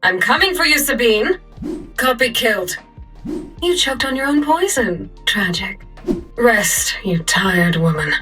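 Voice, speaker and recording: A woman's voice: a little high, big pitch swings, a little slow, bright, forceful tone, far from the mic, soft background music, full character voice. A woman voicing a cold, menacing poison scientist in a video game. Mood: ruthless.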